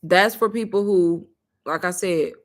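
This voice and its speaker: A woman hosting a casual livestream, pitching an online course and taking viewers' questions in a chatty, repetitive way while calling her viewers "y'all.". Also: a little slow. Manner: chatty, repeats herself, addresses viewers as "y'all".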